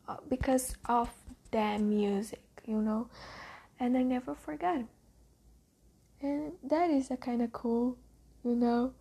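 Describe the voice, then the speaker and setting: A teenage girl from Brazil, a native Portuguese speaker with a Brazilian accent, talking hesitantly and softly in a casual spoken diary. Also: fairly high pitch, vocal fry, slightly rough. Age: teens. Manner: hesitant, many ums, often whispery and soft. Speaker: diarist.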